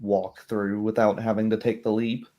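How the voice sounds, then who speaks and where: A man narrating as game master of a tabletop roleplay, calmly describing the scene. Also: lowish voice, moderate pace.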